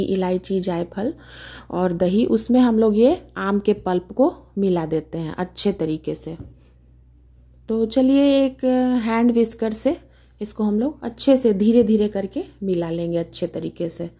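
A woman's voice: on the high side, warm tone, breathy edge. A woman hosting her own cooking channel, chatting through a recipe step by step in her home kitchen. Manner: chatty, homely step-by-step explaining.

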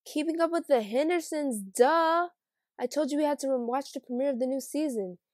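A woman playing a hurt, suspicious high school girl with dramatic, sassy teen talk in an amateur animated drama. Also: a little fast, dry recording, clean studio sound, lightly acted. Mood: annoyed. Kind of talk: amateur voice acting for an animated mini movie.

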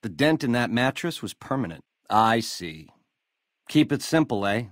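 An actor playing a slick, cocky American casino gangster boss in a video game, swaggering through retro lounge slang. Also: male voice, medium pitch, sing-song, moderate pace, dry recording, studio quality, close to an everyday voice. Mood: boastful, reminiscing. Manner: swaggering retro lounge-lizard slang, calls people baby. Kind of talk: video game character dialogue.

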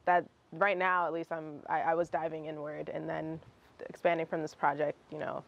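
Young woman, native Russian speaker, low voice, rambling, lo-fi outdoor recording. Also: flat delivery, normal speed, creaky. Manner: rambling, restarts often, laughs between phrases. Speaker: guest singer. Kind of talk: casual interview answers. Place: outdoor terrace with garden.

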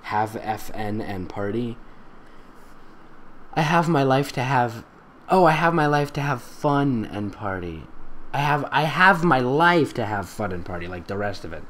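A man's voice: mid-range voice, lively intonation, unhurried, a bit bright. A man doing a comedic fan fiction reading with sarcastic, exasperated, theatrical commentary. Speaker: voice actor and fan fiction reader.

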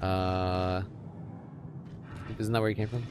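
A man's voice: level tone, takes it slowly. A man in casual let's-play chat, guiding another player through a video game. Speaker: gaming commentator guiding a player. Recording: game music under the voices. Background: video game music and rumble.

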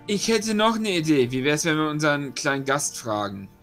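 A man's voice: a little high, sing-song, fairly quick, a bit bright, faint music behind. A man talking casually as a player in a tabletop roleplaying game, slipping in and out of character.

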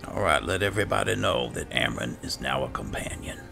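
A man with a halting, low-key, informal way of talking, improvising in character as he hosts a roleplay gameplay session. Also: expressive, vocal fry, over loud music. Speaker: gaming host, roleplaying as a guild leader.

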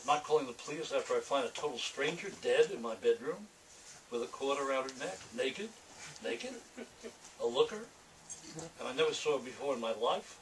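A man's voice: medium pitch, animated, moderate pace, natural voice. An old American man reads out a rattled noir narrator, lecherous and full of excuses. He ruminates and repeats himself defensively, recorded from a distance in a noisy room. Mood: brooding, evasive. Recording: distant camera mic, clearly noisy room.

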